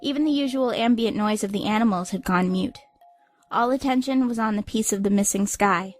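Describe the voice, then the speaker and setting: A woman's voice: mid-range voice, a little fast, soft background music. A woman reading scripted, dramatic audiobook narration.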